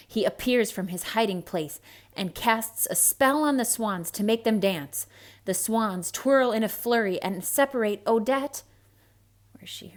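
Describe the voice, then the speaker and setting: A woman with a mid-pitched voice performs a children's read-aloud. Her delivery is theatrical and expressive, shaped for young listeners, with hushed asides woven into the storytelling.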